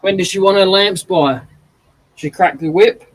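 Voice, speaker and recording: An Australian man hosts a casual livestream in a fairly high voice. His talk is informal and conversational. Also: normal speed, low-quality recording. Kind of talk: casual chat.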